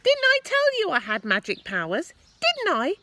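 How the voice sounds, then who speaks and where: A woman voicing a bossy, boastful old grandmother in a storybook, yelling scornful, drawn-out words from high up. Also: wildly swooping pitch, full character voice. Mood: boastful, crowing.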